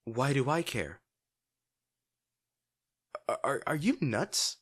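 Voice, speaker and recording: A young man performs an abrasive teenage bully who cares more than he admits. His husky voice swings widely in pitch. He talks gruffly and straight at the listener, with curses and sighs, in the style of an intimate audio drama. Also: very animated, full character voice. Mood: exasperated, concerned. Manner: gruff, swearing, sighing, halting when upset. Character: brash teenage bully boy, abrasive but secretly caring.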